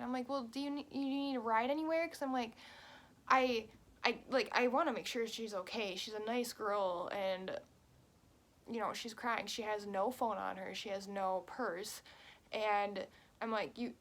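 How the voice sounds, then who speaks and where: A young woman in her twenties tells a story to her camera, talking quickly with plenty of "like" and "you know". Her voice sits a little high and it stays casual and chatty. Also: a bit bright. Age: 20s. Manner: chatty, quick, many fillers. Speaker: vlogger. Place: at home.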